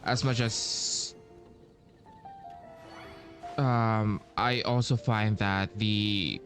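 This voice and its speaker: Very slow, halting male gamer. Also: lowish voice, steady tone, a bit bright. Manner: halting, thinking aloud with long pauses. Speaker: gaming streamer playing through a story quest. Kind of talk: casual playthrough commentary. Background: game music.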